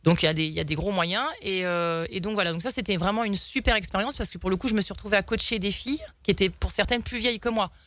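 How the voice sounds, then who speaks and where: A woman's voice: mid-range voice, fast, few pauses, a bit bright, no echo. A young woman guest telling stories in a talk show, rambling with many fillers and restarts. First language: French. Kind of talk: casual storytelling in an interview.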